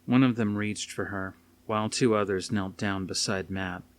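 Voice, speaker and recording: Low-voiced man reading a dramatic story. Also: steady tone, moderate pace, no room sound. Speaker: audiobook reader. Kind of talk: dramatic scripted narration. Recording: faint noise behind the reading.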